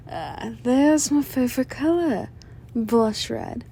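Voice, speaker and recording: A woman playing a flirtatious, menacing female mob boss in an ASMR roleplay, sly and self-assured, with a soft, teasing, sultry delivery. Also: on the high side, slightly rough, exaggerated voice. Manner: teasing, sultry, drawn-out delivery with veiled threats. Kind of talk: scripted ASMR roleplay monologue addressed to listener.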